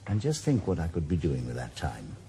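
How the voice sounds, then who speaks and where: A man's voice: extremely low pitch, huge pitch swings, brisk, heavily acted. A man playing a retired ex-detective with a Welsh accent, speaking with a dry, wry sarcasm. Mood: joking. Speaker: lead actor. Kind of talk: 1980s radio drama acting. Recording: noisy location recording.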